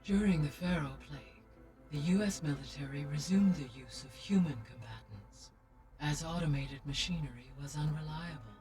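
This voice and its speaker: A woman voicing a calm, clinical AI intelligence. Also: deep voice, close to an everyday voice. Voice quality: measured, clinical delivery. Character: calm, precise female AI intelligence. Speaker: AI companion in a video game. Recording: distant game audio with faint music.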